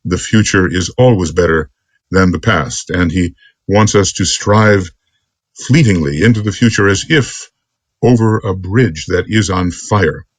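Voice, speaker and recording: A man giving an extemporaneous spiritual lecture as a teacher, speaking earnestly in deliberate, halting phrases. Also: low voice, no room sound. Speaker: esoteric teacher.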